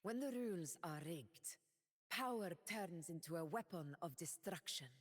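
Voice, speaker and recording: An actress voicing a fierce, commanding warrior woman ruler in a video game, slow and grand in speech. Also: on the low side, animated, big-room sound. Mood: resolute. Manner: slow, measured and grand in speech; roaring battle shouts. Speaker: game character.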